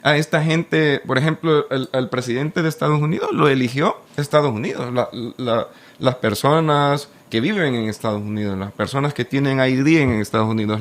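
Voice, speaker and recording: Earnest man explaining in a discussion. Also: mid-range voice. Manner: earnest, explanatory, many restarts. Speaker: panelist. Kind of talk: casual discussion.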